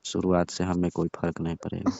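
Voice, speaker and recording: A man's voice: sits low, completely flat delivery, a little fast, gravelly, warm tone. A man playing a jealous young lover in a phone call drama, sulky, curt and full of complaints. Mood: stubborn. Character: sulking, jealous young lover of a married woman. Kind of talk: scripted prank-style phone call drama in colloquial Hindi. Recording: dull lo-fi phone call recording.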